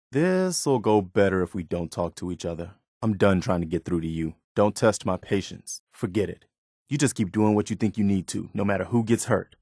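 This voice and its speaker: An actor playing an earnest, weary, principled militia soldier as a video game companion, speaking in a sincere, plain-spoken, conversational way. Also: male voice, deep voice, a little mellow, no echo, clean studio sound. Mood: disappointed, stern.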